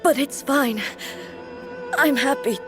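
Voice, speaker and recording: A regal divine ruler, speaking slowly and gravely in a somewhat high voice. Every phrase is formal and weighty, as if passing judgment. Game music plays clearly behind her. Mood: solemn. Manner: solemn and formal, commanding battle shouts. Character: regal divine woman ruler and her stern puppet guardian. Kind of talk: video game voice acting.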